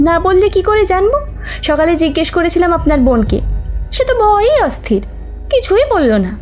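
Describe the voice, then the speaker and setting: Very high-voiced, pert young village wife. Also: female voice, very animated, fairly quick, darkish tone, soft background music. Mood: sulky, challenging. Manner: pouting, challenging, teasing. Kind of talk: Bengali audio horror story acting.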